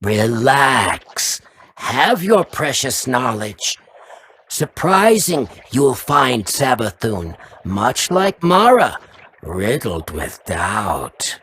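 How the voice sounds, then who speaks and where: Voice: mid-range voice, big pitch swings, drawn-out words, a bit bright, slightly rough, full character voice. An actor voicing a sly, needy worm parasite in a video game, theatrical and grovelling, speaking in clipped broken sentences. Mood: smug.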